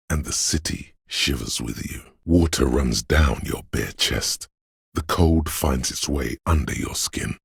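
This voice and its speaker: A man voicing a brooding inner voice that senses a rainy city, narrating a video game in a slow, solemn, poetic and deliberate way with a gravelly, husky tone. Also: extremely low pitch, fairly bright tone, no room sound, clean studio sound, heavily acted. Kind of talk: scripted atmospheric second-person narration.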